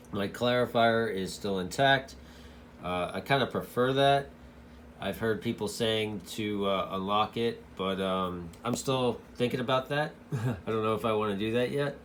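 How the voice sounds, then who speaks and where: Guy doing a casual hobby review, lowish voice, kinda halting, bit of hiss. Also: fairly bright tone. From United States. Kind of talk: casual product review, demonstrating as he talks.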